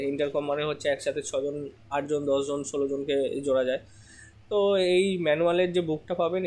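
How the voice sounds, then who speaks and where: A man giving a casual product demonstration as a seller, explaining step by step with frequent hesitant pauses. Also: a little high, darkish tone.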